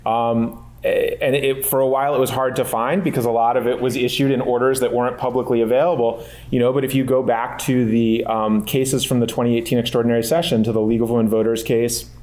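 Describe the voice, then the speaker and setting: Man on a legal panel, halting and thoughtful. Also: mid-range voice, flat delivery, darkish tone. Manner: thoughtful, many fillers and restarts. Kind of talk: panel discussion answer.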